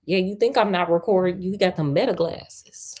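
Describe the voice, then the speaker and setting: A woman host reading a story aloud on a livestream, breaking in with playful, colloquial asides and expressive delivery. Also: lowish voice, normal speed, noisy background.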